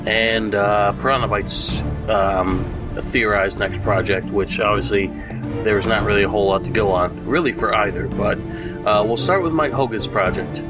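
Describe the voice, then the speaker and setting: A man's voice: fairly low pitch, moderate pace, over music. A man who sounds congested from a recent illness gives a casual, rambling news update for his channel, full of fillers and restarts. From United States. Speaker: gaming YouTuber.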